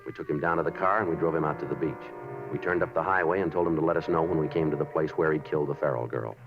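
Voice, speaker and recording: An actor in a 1950s radio drama playing a methodical police detective, clipped, factual and deadpan. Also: male voice, extremely low pitch, racing. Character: terse, methodical male police detective narrating his case. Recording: muffled 1950s radio with music behind.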